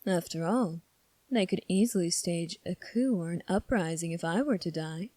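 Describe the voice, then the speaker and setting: A woman playing a calm, sly young prince in an ASMR roleplay, speaking in a measured, formal, teasing way. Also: lowish voice, no echo, close to an everyday voice. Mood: coolly probing. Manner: measured, formal, coolly probing. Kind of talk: ASMR roleplay audio drama.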